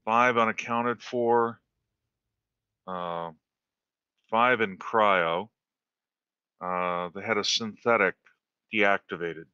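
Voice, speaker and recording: Slow, bright-voiced man on dull voice chat. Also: lowish voice, clear, resonant tone, dry recording. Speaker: player in an online tabletop game. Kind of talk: casual game chat, reading out screen text.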